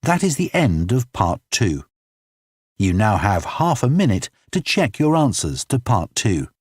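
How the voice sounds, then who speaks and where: A man reading scripted exam instructions as an announcer, formal and deliberate. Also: fairly low pitch, sing-song, breathy edge, no room sound, studio quality.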